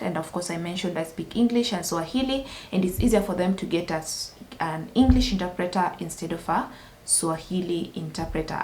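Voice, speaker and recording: A woman from Kenya sharing a personal story as a vlogger, earnest and careful, with many restarts. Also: fairly low pitch. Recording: roomy echo.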